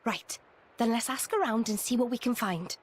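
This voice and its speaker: A woman's voice: on the high side, normal speed, slightly rough. An actress playing a blunt, hot-tempered young noble girl in a video game cutscene. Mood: brisk. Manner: blunt, impatient.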